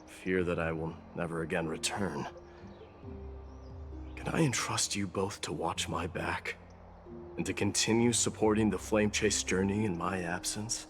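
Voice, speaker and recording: An actor playing a solemn, reserved warrior prince and guardian in a video game, speaking formally and earnestly. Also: male voice, low-pitched, fairly even intonation, measured pace. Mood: solemn, grateful. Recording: loud music behind.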